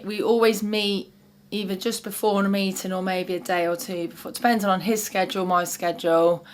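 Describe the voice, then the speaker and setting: A woman with an English accent, an advocate, talking chattily and warmly about the young man she supports, with frequent fillers. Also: mid-range voice, steady tone, a little fast, slight background noise. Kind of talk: interview testimony.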